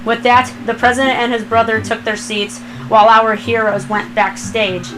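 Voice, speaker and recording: Woman reading a fanfic out loud, kinda high voice, narrator style, background music. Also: level tone, normal speed, poor audio. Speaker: fan fiction reader, narrator. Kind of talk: scripted story narration.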